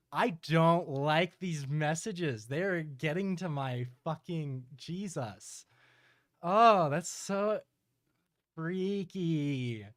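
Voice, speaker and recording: A man's voice: a little high, no echo. A man streams his reactions in a casual, conversational way. He is sarcastic and jokes as he comments. The sound is close and dry. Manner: sarcastic, joking. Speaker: streamer reacting to horror videos.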